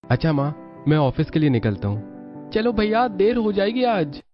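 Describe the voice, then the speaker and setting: A man's voice: sits high, sing-song, normal speed, pitched above the performer's own voice. Man voicing a teasing young brother, muffled audio. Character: teasing young adult brother, an office-going son. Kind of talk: Hindi cartoon story dialogue. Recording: dull lo-fi audio with music.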